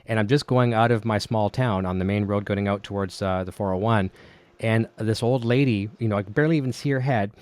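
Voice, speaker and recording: A man's voice: fairly low pitch, brisk, darkish tone, dry recording. A middle-aged Canadian man hosting a casual podcast interview, chatty and easy-laughing, telling anecdotes. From Ontario, Canada. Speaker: podcast host.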